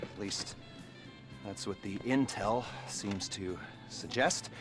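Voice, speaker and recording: An actor playing a sardonic, shady American intelligence operative briefing a crew in a brisk, slangy way for a video game cutscene. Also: male voice, fairly low pitch, over music. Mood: wry, conspiratorial. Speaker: mission briefer.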